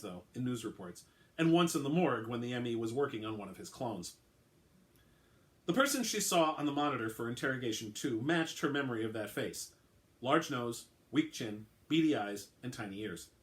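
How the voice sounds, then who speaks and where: A man, an author, giving a relaxed dramatic reading of his own story, with occasional fillers in the intro. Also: medium pitch.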